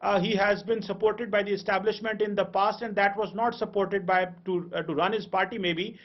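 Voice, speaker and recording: A man with a Pakistani accent giving analysis on a live TV debate, halting and often repeating words. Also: high voice, steady tone, highs cut off. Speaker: panel analyst.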